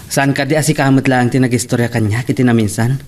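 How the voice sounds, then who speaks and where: A frank buddy voiced by a man in an audio romance drama. His voice sits at an average pitch, and he reasons persuasively, pressing his points with questions. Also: fairly even intonation, quick pace, a little mellow, natural voice. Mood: cautioning. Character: frank male friend advising his partner in love. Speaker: voice actor in a drama. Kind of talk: Ilocano audio love drama acting.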